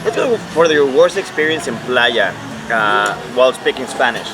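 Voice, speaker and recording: A man's voice: mid-range voice, lively intonation, background noise. A man, hosting a casual brunch chat on an outdoor restaurant patio. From Mexico. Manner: chatty, laughing, quick asides. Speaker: vlog host. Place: outdoor restaurant patio under a thatched roof. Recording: music playing behind the voice. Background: loud background music.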